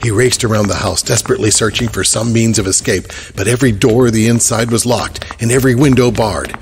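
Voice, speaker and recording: A man narrating a horror audio drama in a grave, measured storytelling manner. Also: lowish voice, background noise.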